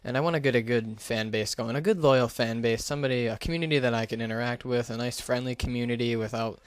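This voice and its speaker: A man's voice: mid-range voice, fast talker, clear, resonant tone, no room sound. A man giving a casual, unscripted gaming commentary into a gaming headset, talking in a hesitant, rambling, self-deprecating way. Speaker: gaming YouTuber.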